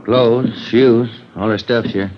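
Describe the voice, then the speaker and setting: An actor playing a brisk, practical police detective in a 1950s radio drama. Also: male voice, mid-range voice, steady tone, lightly acted. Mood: businesslike. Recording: echoey, dull vintage radio recording.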